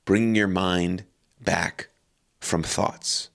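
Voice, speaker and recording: A man leading a guided meditation calmly and unhurriedly, in short phrases with long pauses. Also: sits low, creaky.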